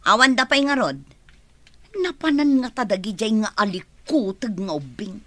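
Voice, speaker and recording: A woman acts out a grumbling caretaker in a radio drama, fretting that she will be blamed. The voice is bright and lively, recorded close and dry. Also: medium pitch, normal speed, dry recording. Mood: fretful. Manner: grumbling, fretful.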